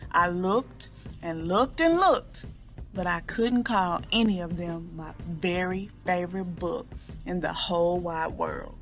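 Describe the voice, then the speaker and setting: A woman reading a picture book aloud to children in an expressive storytelling style, drawing out key words. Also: fairly low pitch, creaky.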